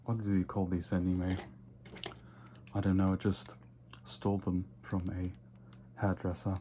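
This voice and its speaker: A man playing a vain, condescending yet flirtatious vampire in a soft-spoken, nonchalant ASMR roleplay. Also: sits low, monotone, background noise. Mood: nonchalant, casual. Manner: soft-spoken, unhurried, repeats words in whispers.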